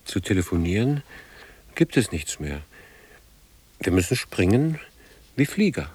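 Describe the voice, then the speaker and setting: Husky, low, brooding older man. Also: big pitch swings, darkish tone, heavily acted. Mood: urgent, musing. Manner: halting, fragmentary, gravely storytelling. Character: weary, brooding older man, haunted by war. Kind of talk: radio drama dialogue.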